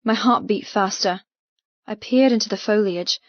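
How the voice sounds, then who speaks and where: A woman's voice: mid-range voice, normal speed, no room sound. Woman narrating fiction, dry muffled sound. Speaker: audiobook narrator. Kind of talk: scripted first-person fiction narration.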